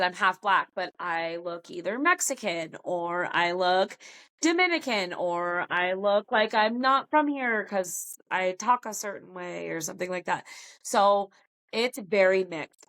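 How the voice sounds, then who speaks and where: A woman's voice: mid-range voice, fairly bright tone, rough recording. A middle-aged American woman chatting informally and jokingly as a podcast guest. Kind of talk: casual chat.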